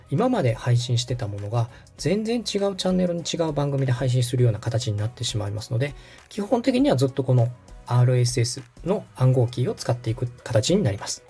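Male podcast host, instructional walkthrough. Animated pitch, soft dark tone, polite and orderly, music bed. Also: brisk, few pauses, breathy edge. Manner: polite, methodical explainer. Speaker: podcast host and radio director giving step-by-step guidance.

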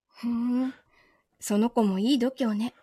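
A woman playing a possessive, coolly menacing wronged girlfriend in an audio drama, questioning calmly with soft taunts. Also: on the high side, measured pace, slightly rough, no room sound, clean studio sound. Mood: cold interrogation. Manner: calm interrogating, softly taunting, sometimes whispering. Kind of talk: Japanese audio drama acting.

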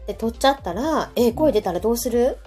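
A woman's voice: a little high, lively intonation, quick pace. A middle-aged woman with a Kansai accent, talking chattily and informally as a podcast co-host. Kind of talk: casual chat on a live podcast. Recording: background music under the talk.

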